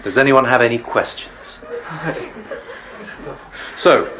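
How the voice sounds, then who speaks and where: An actor playing a ruthless master of the palace guard in an audio drama, measured, formal and coldly threatening. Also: male voice, medium pitch, noisy background, natural voice.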